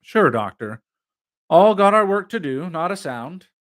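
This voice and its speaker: A man's voice: high-pitched, sing-song, unhurried, a bit bright, voice pushed up, full character voice. A man voicing a pompous, bumptious schoolmaster in an audiobook novel, eager to impress with blustering, old-fashioned slang.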